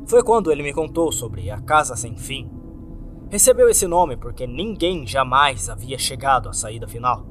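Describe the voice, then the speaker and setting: A man's voice: medium pitch, big pitch swings, moderate pace. A man with a Brazilian accent reading a scripted first-person horror story with dramatic delivery, as narrator. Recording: background music under the voice. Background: music.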